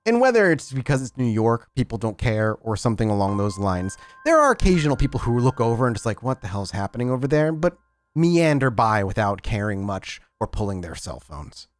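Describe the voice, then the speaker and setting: A man's voice: medium pitch, very animated, brisk, no room sound. A man narrating a tabletop roleplaying game as storyteller, describing scenes in detail.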